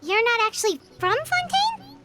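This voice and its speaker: A woman voicing a tiny, chirpy, nosy fairy companion in a video game, squeaky and excitable. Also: moderate pace, over music. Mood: curious.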